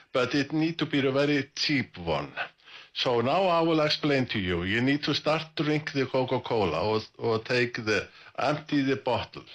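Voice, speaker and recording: A man's voice: mid-range voice, fairly bright tone. A middle-aged man with an Icelandic accent, speaking English as a second language, presenting on a live amateur radio stream in a casual, rambling way with many fillers and self-corrections. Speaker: ham radio net presenter.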